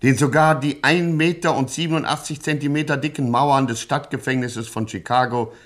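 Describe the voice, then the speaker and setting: An actor playing a solemn, pompous, tongue-in-cheek narrator of a satirical gangster radio play. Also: male voice, mid-range voice, fairly even intonation, normal speed, natural voice. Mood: mock solemn. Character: grandiose mock-epic narrator of a gangster satire.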